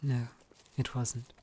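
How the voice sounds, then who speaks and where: A man acting as a wounded, exhausted centaur envoy in a fantasy audio drama, formal and respectful, speaking haltingly with strain. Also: mellow.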